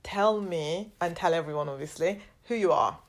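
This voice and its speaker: Woman host, lively and playful, asks questions with a laugh. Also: lowish voice, normal speed. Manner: lively, teasing, laughs a lot. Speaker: podcast host. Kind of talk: casual interview chat.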